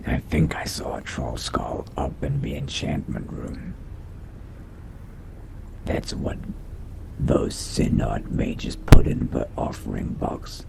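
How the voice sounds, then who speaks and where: A man voicing a brooding, curious young vampire adventurer in a video game roleplay, musing to himself in a slow, hushed, gravelly whisper. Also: steady tone, noisy background, exaggerated voice. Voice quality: very deep, breathy, gravelly whisper. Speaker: roleplay gamer.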